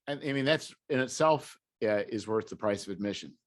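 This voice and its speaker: A man's voice: lowish voice, animated, a little fast. A man hosting an interview podcast in a casual, conversational way, hesitant as he searches for words. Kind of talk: casual chat.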